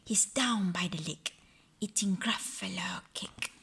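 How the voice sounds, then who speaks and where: A woman voicing a sly male snake in a storybook with a breathy, hissing voice. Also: mid-range voice, takes it slowly, a bit bright, full character voice.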